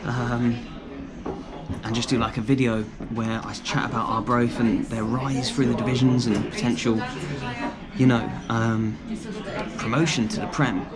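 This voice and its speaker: A man's voice: mid-range voice, little pitch movement, unhurried, a loud crowd. A man presenting a casual football vlog, chatting to camera in an enthusiastic way. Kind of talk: casual travel vlog chat. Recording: noisy outdoor recording with wind or traffic.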